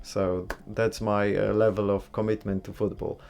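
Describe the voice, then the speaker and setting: A man from Poland, hosting a casual live stream, reading and answering viewers' comments in a relaxed, chatty way and giving blunt opinions. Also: fairly low pitch, normal speed, creaky. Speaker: livestream host.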